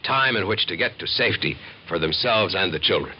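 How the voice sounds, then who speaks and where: An actor playing a grave storyteller, narrating in a terse, dramatic 1950s radio documentary style. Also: male voice, deep voice, lively intonation, fairly quick, a little hiss or hum. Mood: grave, tense. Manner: terse, dramatic, present-tense telling. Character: grave male storyteller of a nuclear attack scenario. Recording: dull old radio recording, loud music.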